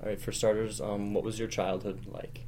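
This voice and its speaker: Low, flat-toned young Midwestern man. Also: moderate pace, a little hiss or hum. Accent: American Midwestern. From Wisconsin, United States. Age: young adult. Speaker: student interviewer. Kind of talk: oral history interview.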